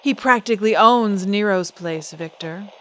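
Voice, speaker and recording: A woman's voice: mid-range voice, measured pace, a bit bright, close to an everyday voice. A woman playing a poised, defiant celebrity singer in an old-time radio drama, speaking with composed, pointed, genteel diction. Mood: firm, defiant.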